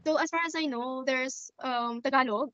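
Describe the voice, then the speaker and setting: A woman from the Philippines tutoring over a video call, speaking gently and hesitantly with soft fillers. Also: high voice, moderate pace, no echo. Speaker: language tutor. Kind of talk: casual online tutoring chat. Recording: dry, slightly dull call audio.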